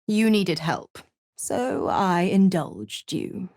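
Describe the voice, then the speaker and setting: A woman's voice: lowish voice, big pitch swings, drawn-out words, a little mellow, dry recording, studio quality, full character voice. An actress playing a scheming, cruel, seductive she-devil villain in a video game, with a taunting, condescending manner. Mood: smug, silky. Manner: taunting, drawling, condescending, purring endearments like "pet". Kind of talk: theatrical video game character acting.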